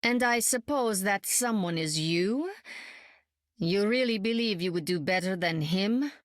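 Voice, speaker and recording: An actress giving voice to a powerful sorceress in a video game, speaking at a middle pitch with dry sarcasm. Her lines are crisp and close, with no room around them. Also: lively intonation, measured pace, no echo, lightly acted. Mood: wry.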